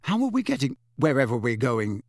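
A man's voice: mid-range voice, huge pitch swings, a little fast, darkish tone, no echo, studio quality. A man voicing a curious, impatient teenage boy wizard in an audiobook, questioning and direct. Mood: curious, amazed. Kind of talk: audiobook character voice.

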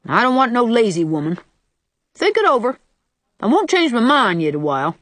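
A man's voice: very high-pitched, wildly swooping pitch, moderate pace, fairly bright tone, no echo, voice pushed up, full character voice. A woman voicing a solemn, stubborn young farmhand with abrupt, folksy rustic speech in an audiobook. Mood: stubborn, persuasive. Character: lanky, solemn young country farmhand, blunt and stubborn suitor. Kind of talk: audiobook character voice.

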